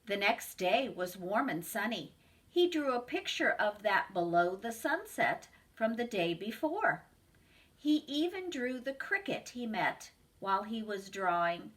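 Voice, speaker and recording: A woman, a preschool teacher, reading a picture book aloud to children in a slow, expressive storytelling voice. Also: medium pitch.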